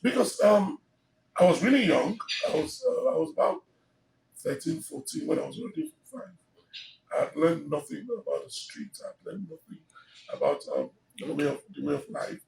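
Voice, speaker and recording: A man's voice: fairly high pitch, big pitch swings, normal speed, creaky, darkish tone, a little hiss or hum. A middle-aged man with a Nigerian English accent, telling his life story in an emphatic, expansive way with many fillers, interviewed on a sofa with a handheld mic. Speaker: interview guest. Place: living room on a sofa, indoors. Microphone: handheld mic held by interviewer. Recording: roomy, slightly distant mic.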